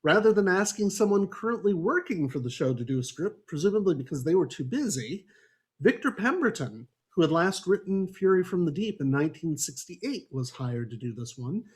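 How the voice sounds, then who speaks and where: A man with a fairly high voice presents as a podcast host. He moves through scripted introductory material and drops in dry, wry remarks as he goes. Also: very animated, fairly quick. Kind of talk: semi-scripted book discussion introduction with ad-libbed asides. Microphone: online group call.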